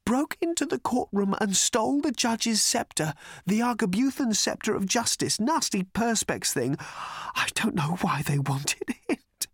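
High, quavering half-mad invalid. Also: male voice, expressive, no room sound, clean studio sound, full character voice. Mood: weeping, whimpering. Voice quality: hoarse, whimpering, high and strained. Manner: tremulous, drawn-out, hysterical delivery. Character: wild-eyed, half-mad wounded journalist, weeping and shrieking.

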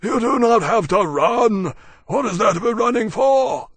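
A man voicing a huge, hearty, blunt male dragon with a booming, drawn-out delivery in an audiobook. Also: animated, a bit bright, slightly rough, no echo, clean studio sound, full character voice. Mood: defensive.

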